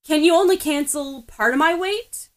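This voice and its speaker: A woman's voice: sits high, moderate pace, voice pushed up, natural voice. A woman voicing a flustered, frank teenage girl in an audiobook, blurting and earnest. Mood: hesitant.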